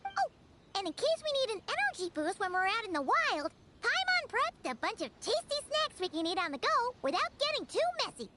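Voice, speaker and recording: Super high squeaky little fairy mascot for a game, excitable, chirpy, swoops around a lot. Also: female voice, a little fast, background noise. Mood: cheerful, eager. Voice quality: squeaky, childlike. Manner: chirpy, exclamatory, talks of herself in third person. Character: tiny excitable floating fairy companion, female, childlike. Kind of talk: scripted video game dialogue.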